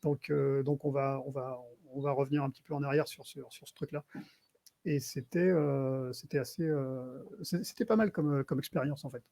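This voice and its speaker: A man talking casually on a panel in a hesitant, halting way. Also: medium pitch, steady tone, gravelly, dark tone, dry recording.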